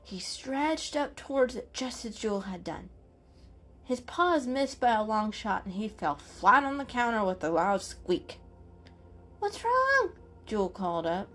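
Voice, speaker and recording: A woman's voice: medium pitch, animated, measured pace, a bit bright. A woman narrating a children's story as a scripted dramatic reading.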